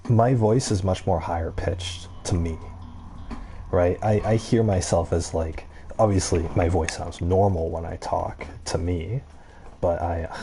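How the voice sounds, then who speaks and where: Male gaming creator, deep and mellow, halting casual ramble, faint noise behind. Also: gravelly. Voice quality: low-pitched voice. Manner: halting, rambling, trails off and circles back. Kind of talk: casual gameplay commentary, rambling chat.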